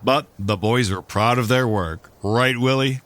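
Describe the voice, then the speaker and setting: An actor playing a hearty, cheerfully oblivious old-fashioned hero in a comedy audio drama, with jaunty old-time heroic diction. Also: male voice, mid-range voice, a little slow, a bit bright, no room sound, lightly acted. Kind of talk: 1930s-style radio serial acting.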